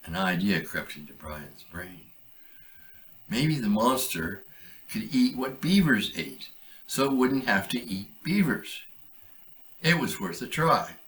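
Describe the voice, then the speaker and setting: A man reading aloud a short story he wrote, in a measured, deliberate way. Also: fairly low pitch, lively intonation, a bit bright, recorded from a distance, rough recording. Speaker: author reading his own story.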